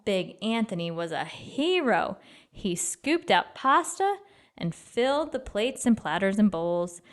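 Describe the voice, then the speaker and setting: A woman reading a children's story aloud with expressive, dramatic emphasis. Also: mid-range voice, slow. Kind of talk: expressive read-aloud narration.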